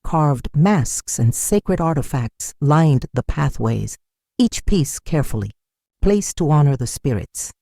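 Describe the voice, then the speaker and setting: A narrator delivers a scripted folktale with a solemn, dramatic air. The voice is dark and mellow, at a middling pitch, and very dry and close, with no room sound. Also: animated, slow pace, creaky, no echo.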